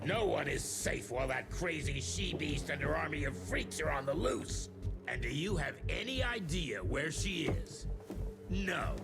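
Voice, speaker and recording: An actor playing a scheming, profane middle-aged government official in a game cutscene, gruff and contemptuous. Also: male voice, a little high, expressive, measured pace. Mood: scornful. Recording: game cutscene with loud music.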